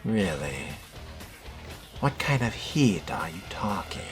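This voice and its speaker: A man role-playing a sardonic, skeptical fledgling vampire in a video game, with a dry, deliberate delivery. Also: deep voice, big pitch swings. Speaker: player voicing his game character. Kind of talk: role-play dubbing of game dialogue. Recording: background music under the voice.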